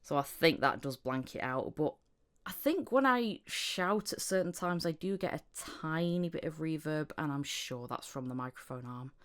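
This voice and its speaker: Woman, low voice, podcast host, casual chat, anecdotal storyteller. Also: animated, normal speed, fairly bright tone. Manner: anecdotal, acting out reported conversations.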